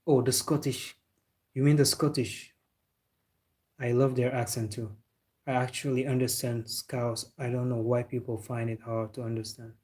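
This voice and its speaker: A man's voice: mid-range voice, little pitch movement, normal speed, darkish tone. A young man hosting a football live stream, chatting casually with viewers in a loose, laid-back way. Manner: loose and chatty, calls viewers bro, talks with a laugh.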